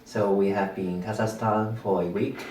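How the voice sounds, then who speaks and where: A man teacher, speaking in a low, mellow voice as he explains things steadily. The mic is set back in a roomy space, with a light wash of room noise. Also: steady tone, normal speed, recorded from a distance. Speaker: visiting teacher from Hong Kong. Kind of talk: interview answers.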